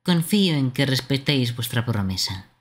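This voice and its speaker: A man's voice: mid-range voice, very animated, normal speed. A man voicing a grave, formal guardian creature of a secret place in a live amateur game dub. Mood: solemn. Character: solemn mystical guardian creature of a secret lake.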